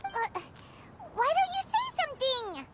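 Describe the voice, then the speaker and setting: A woman voicing a tiny, excitable, whiny childlike fairy companion in a video game, squeaky and exclamatory. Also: measured pace, heavy background noise, full character voice. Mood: sheepish. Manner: talks about self in third person, exclamatory. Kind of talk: video game character acting.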